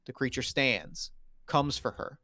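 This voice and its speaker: A man narrates a screenplay as the reader of its stage directions. His voice sits at a middling pitch. The sound is dry, with very little room in it. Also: unhurried, bright, forceful tone, no room sound.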